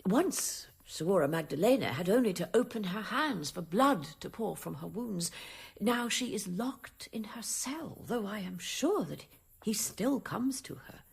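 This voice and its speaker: Accusing holy nun, radio drama: gravelly, very husky, falsely humble, insinuating. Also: female voice, medium pitch, lively intonation, a little slow, few pauses, exaggerated voice. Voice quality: very breathy, creaky. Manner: insinuating, pointed. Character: pious, accusing zealot nun with sly false humility.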